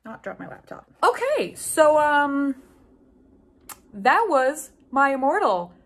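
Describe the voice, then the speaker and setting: A woman's voice: high-pitched, very animated, takes it slowly, fairly bright tone. A woman in her twenties reading aloud and reacting in an incredulous, theatrical, comedic way, swearing freely. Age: 20s. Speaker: book channel host. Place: small room at home with bookshelves, indoors.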